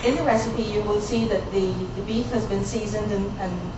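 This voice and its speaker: A woman's voice: medium pitch, steady tone, moderate pace, very noisy. A woman from the Caribbean, hosting a live cooking demonstration and chatting with the audience. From born in Guyana, raised in Barbados. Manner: chatty, jokey, talks to the audience. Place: demo kitchen on a cruise ship. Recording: very echoey room, distant mic, faint ambience.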